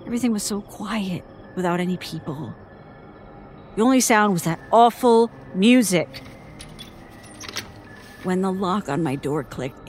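An actress playing a shaken woman who haltingly recounts a traumatic childhood ordeal in an audio drama monologue. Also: medium pitch, lightly acted. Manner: halting, haunted storytelling. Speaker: interviewee.

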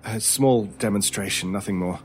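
A man's voice: lowish voice, a little mellow, lightly acted. Man playing a brooding, mysterious stranger in radio horror. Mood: grave, explanatory. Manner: deliberate, theatrical, dramatic pauses. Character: intense mysterious male stranger, brooding paranormal expert. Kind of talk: old-time radio horror acting. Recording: music under the voice. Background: music.

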